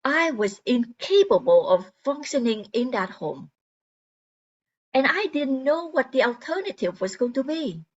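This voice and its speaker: A woman performing a dramatic monologue as an earnest, wounded mother fighting for her son, speaking deliberately and haltingly. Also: high-pitched, big pitch swings, exaggerated voice. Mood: earnest, regretful. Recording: dull lo-fi sound.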